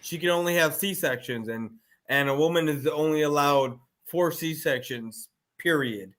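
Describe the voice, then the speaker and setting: A man's voice: a little high, unhurried, a bit bright. A man chatting casually as a panelist, restarting his phrases again and again. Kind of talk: casual chat.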